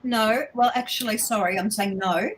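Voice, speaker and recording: A woman co-hosting a relaxed live stream from down under. She talks in a chatty way, jumps in often and agrees readily, and her pitch is average. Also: a little hiss or hum. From Australia or New Zealand. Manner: chatty, interjects, quick to agree. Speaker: co-host. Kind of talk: casual live-stream chat.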